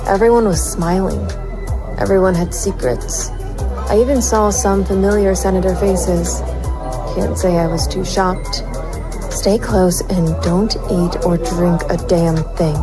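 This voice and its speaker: A woman acting a hard-boiled female private eye, low-voiced and brooding. She narrates a noir radio play in clipped, sardonic lines, weary and resolute, with music underneath. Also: steady tone, unhurried, lightly acted. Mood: firm, hushed. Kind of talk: noir audio drama narration. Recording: music bed behind the voice.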